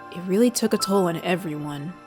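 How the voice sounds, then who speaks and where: A woman's voice: fairly low pitch, fairly quick, over music. A woman giving dramatic scripted narration as a storyteller.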